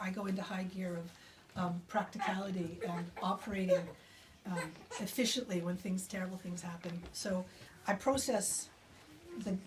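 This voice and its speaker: A woman poet speaking and reading her own work live to a small gathering of listeners. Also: mid-range voice. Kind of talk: live poetry reading with informal remarks to audience. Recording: distant mic with background noise.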